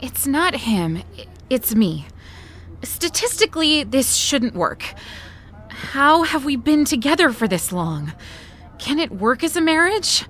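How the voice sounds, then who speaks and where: An anxious woman who overthinks everything in an audio drama. She talks slowly and deliberately, with fretful swings in pitch. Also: big pitch swings, a bit bright, heavily acted. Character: anxious, overthinking woman hesitant about marriage.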